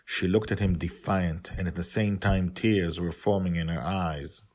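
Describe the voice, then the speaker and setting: A man with a low voice acts as host and narrator of a serialized tale. He delivers it as storytelling, in the manner of an author reading his own work. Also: fairly even intonation, a little slow, darkish tone.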